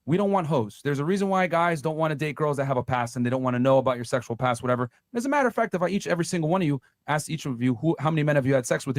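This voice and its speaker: Guy lecturing hard, talks super fast, kinda high voice, dry close audio. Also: no echo. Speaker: speaker in a played video clip. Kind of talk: argumentative lecture.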